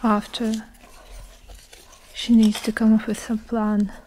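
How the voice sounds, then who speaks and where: A young woman narrating an ASMR story in a hushed, deliberate way, often whispering, close on a lapel mic. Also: on the high side, monotone, a little hiss or hum. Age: young adult. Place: small indoor room with curtains.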